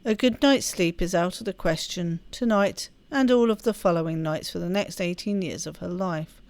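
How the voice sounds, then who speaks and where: A woman reading a story aloud as expressive narration for a game playthrough. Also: fairly low pitch, normal speed, dry recording. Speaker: let's play host reading game text aloud.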